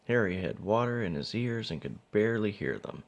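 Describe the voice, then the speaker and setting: A man's voice: fairly low pitch, expressive, fairly quick, gravelly. A man reading a children's storybook aloud as narrator. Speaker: father reading a picture book.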